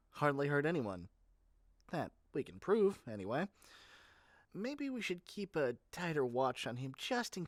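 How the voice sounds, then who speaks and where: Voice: mid-range voice, no echo, studio quality, lightly acted. A performer playing an eager, chatty salesperson in a scripted roleplay, rambling on and breezily matter-of-fact about menacing things. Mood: chirpy, rambling. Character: eager, chatty salesperson matchmaking dangerous obsessive lovers. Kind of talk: scripted ASMR roleplay addressed to the listener.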